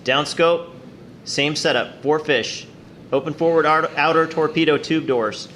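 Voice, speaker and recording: An actor playing a stern, cautious wartime submarine captain, giving clipped, commanding orders in a war drama. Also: male voice, a little high, steady tone, unhurried, fairly bright tone, a little hiss or hum. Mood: focused, decisive. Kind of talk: radio-play style war drama acting.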